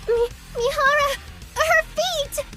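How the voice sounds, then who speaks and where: A woman playing an excitable young female android soldier in an anime-style game, with a small, childlike, squeaky voice. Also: slow pace, faint music behind, exaggerated voice. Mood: panicked, furious.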